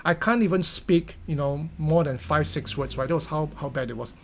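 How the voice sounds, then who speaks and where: A man's voice: on the high side, moderate pace, slight background noise. A middle-aged Singaporean man with a Singaporean English accent, giving an earnest motivational talk full of fillers and restarts. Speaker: company founder giving a talk.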